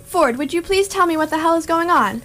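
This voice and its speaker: Woman playing a high-pitched bewildered everyman. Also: animated, a little fast, fairly bright tone. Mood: exasperated, sarcastic. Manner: exasperated, dryly sarcastic. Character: bewildered, indignant ordinary Englishman. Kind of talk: amateur radio theatre acting.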